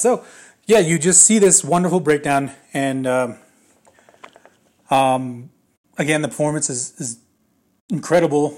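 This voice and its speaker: Slightly raspy man rambling through a review. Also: a little high, a little mellow. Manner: rambling, lots of fillers and restarts. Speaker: movie reviewer. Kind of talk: casual spoken movie review.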